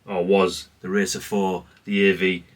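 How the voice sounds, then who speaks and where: A man's voice: sits low, little pitch movement, a little slow, recorded from a distance. A man giving a casual, rambling, understated show-and-tell, speaking off the cuff. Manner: rambling, self-effacing, understated. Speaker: hobbyist presenter.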